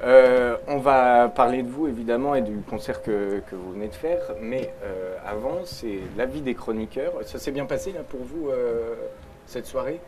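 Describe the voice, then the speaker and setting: A man's voice: mid-range voice, moderate pace. A man hosting a live talk show on a boat, hesitant and full of fillers. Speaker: host.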